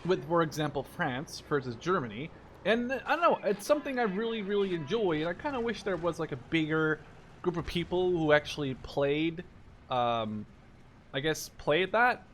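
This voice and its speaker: Higher-voiced young man doing game commentary. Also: expressive, moderate pace, slight background noise. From Netherlands. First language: Dutch. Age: 20s. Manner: rambling, digressive, informal with mild swearing. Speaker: gaming video creator. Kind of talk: casual gameplay commentary.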